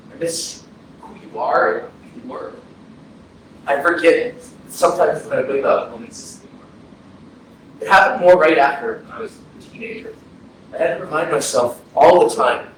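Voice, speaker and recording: A man's voice: fairly high pitch, lightly acted. A young man acting as a grieving thirty-one-year-old haunted by a dead loved one, in an earnest, lyrical staged play reading. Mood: wistful grief. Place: arts center theatre, staged reading. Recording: distant, echoey hall with background hiss.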